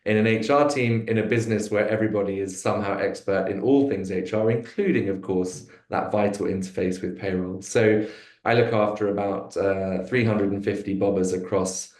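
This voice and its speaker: Man, webinar host, low voice, lots of ums and restarts, roomy echo. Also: steady tone, moderate pace, darkish tone. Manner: hesitant, many fillers and restarts. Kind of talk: corporate webinar hosting. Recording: echoey room.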